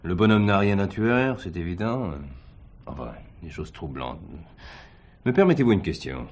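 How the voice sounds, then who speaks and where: A courteous nephew with probing questions. His voice sits fairly low but moves in lively inflections, polite and inquisitive in dramatic dialogue. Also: normal speed.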